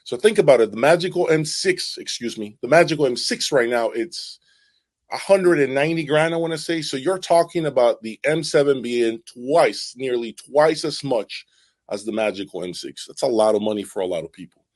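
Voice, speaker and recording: A man's voice: mid-range voice, expressive, normal speed. A man hosting an audiophile channel, giving casual, unscripted product commentary in an emphatic way, repeating his points and checking in as he goes. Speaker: host of an audiophile video channel.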